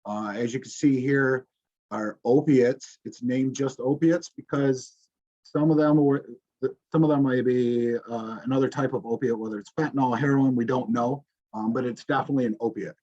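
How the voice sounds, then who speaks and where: Halting male police presenter, muffled audio. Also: mid-range voice, fairly even intonation, darkish tone. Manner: informal, halting, many ums and restarts. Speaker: police officer presenting to the community. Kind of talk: presentation over slides. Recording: dull, muffled recording.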